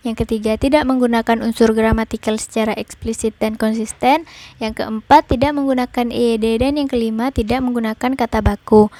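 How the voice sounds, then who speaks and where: A young woman student reading a class presentation, explaining definitions and examples in an even way. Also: on the high side, no echo. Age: young adult.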